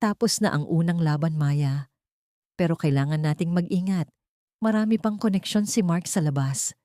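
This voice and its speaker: A woman voicing a composed, assured male lawyer and loyal ally in an audio drama, speaking in a measured way. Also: fairly low pitch, warm tone, dry recording, studio quality, natural voice. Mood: cautious.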